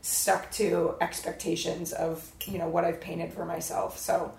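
Lower-voiced young woman guest, echoey room. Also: flat delivery, unhurried, vocal fry, a little mellow. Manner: upbeat, candid, informal. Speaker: podcast guest, dance teacher. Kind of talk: casual interview chat. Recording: roomy, echoey sound.

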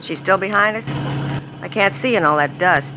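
An actress playing a crook's nervous, complaining accomplice in a 1950s radio drama, edgy and whining. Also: female voice, medium pitch, moderate pace, close to an everyday voice. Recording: muffled noisy 1950s radio recording.

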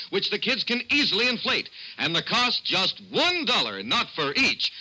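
Radio ad guy, super sharp bright voice, swoops around a lot, pushy mail order pitch. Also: rough recording. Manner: emphatic, exclamatory sales pitch. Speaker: mail-order advertisement announcer. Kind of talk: hard-sell scripted radio ad.

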